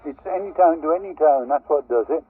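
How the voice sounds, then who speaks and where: A man's voice: on the high side, brisk, phone-quality sound. A man chatting casually as a radio operator, his voice coming over a CB radio. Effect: heard over CB radio. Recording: distant, noisy CB radio reception.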